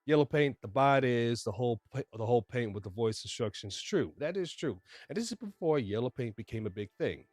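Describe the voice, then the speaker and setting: A man's voice: mid-range voice, animated, a little fast, hardly any pauses, darkish tone, no room sound, studio quality, faint music behind. A man hosting a live stream, giving casual, rambling reaction commentary and talking to his chat. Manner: rambling, talks back to chat. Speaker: streamer host.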